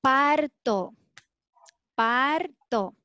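A young woman interpreting medical terms on a video call, speaking in a careful, measured way. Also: high-pitched, expressive, bright, forceful tone, dry recording. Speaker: Spanish interpreter. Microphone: laptop mic on video call. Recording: dull dry video call audio.

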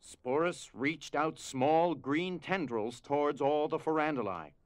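A man narrating a scripted drama as storyteller, with grave, deliberate phrasing. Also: a little high, no echo.

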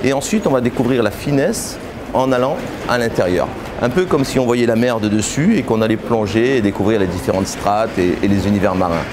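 Man in his forties, halting speech with fillers, art fair stand, noisy background. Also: on the low side, expressive. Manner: hesitant, many fillers and restarts. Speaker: exhibiting artist. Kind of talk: interview answers on camera.

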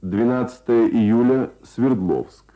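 A man's voice: medium pitch, slow pace, warm tone. A man announcing and reading scripted text as a radio announcer. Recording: narrow-band old radio, music behind.

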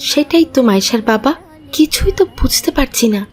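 Woman playing caring cartoon mother, high pitch, attentive, practical delivery. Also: lively intonation, fairly quick, a little mellow. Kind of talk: children's cartoon voice acting.

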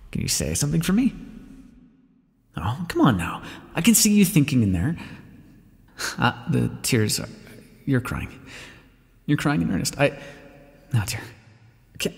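A man voicing a sardonic, protective vampire scientist in an ASMR roleplay, with dramatic, formal speech that often drops toward a whisper. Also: mid-range voice. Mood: flustered, soothing. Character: desperate, sardonic male vampire scientist, protective of his captive. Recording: hall-like reverb with clear background noise.

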